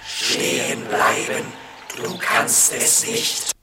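Distant, echoing and buried in heavy noise, an impersonal city voice. It chants slogans and commands at a very slow, incantatory pace, a man's voice in an old radio drama. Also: fairly low pitch, flat delivery. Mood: cold, insistent. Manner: slow, incantatory, clipped phrases. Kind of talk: radio play chorus of slogans. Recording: echoey, distant, very noisy old radio production.

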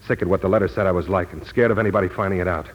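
A haunted veteran in a 1940s radio drama. His low, husky voice is terse and guarded, weary with self-doubt. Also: racing, muffled recording. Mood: troubled, confessional.

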